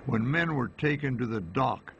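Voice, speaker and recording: Gravelly older man narrating a documentary. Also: medium pitch, lively intonation, moderate pace, a bit bright, lo-fi sound. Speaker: narrator, veteran correspondent. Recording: faint noise, hall reverb.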